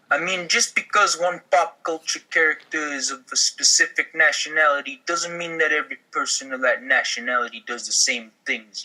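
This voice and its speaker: A man playing an earnest, chivalrous young man in a comedy table read, in a halting delivery. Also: on the high side, bright, forceful tone, low-quality recording.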